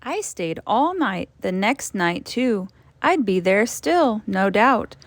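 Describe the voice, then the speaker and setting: A woman teacher reading a storybook aloud to children, expressively, with dramatic emphasis and suspenseful drawn-out phrases. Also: mid-range voice, sing-song, dry recording.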